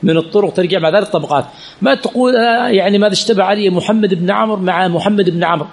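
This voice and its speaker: Fast-talking sheikh teaching a class, slightly husky, keeps quizzing the students. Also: male voice, high-pitched. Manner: asks the class rhetorical questions and repeats key words. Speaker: sheikh teaching hadith chain studies. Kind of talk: classroom lesson with Q&A.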